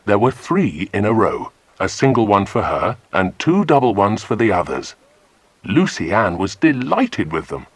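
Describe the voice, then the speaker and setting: Deep-voiced, animated male storyteller. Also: measured pace, husky, no echo. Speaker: narrator. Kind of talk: scripted storytelling narration for a children's audiobook.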